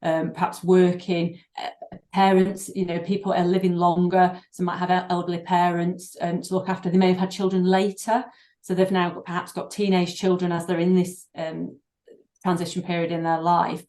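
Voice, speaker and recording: A middle-aged woman, a doctor and breathing therapist, speaking in a podcast interview, thoughtful and halting with many fillers and restarts. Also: fairly low pitch, little pitch movement, mellow. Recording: echoey room.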